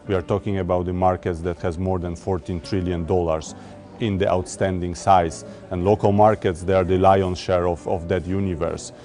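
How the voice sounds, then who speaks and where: A man from Poland, talking about his investment work in a corporate interview, measured and often repeating words as he looks for the phrasing. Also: sits low, a little mellow. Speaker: emerging market debt investor. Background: faint music.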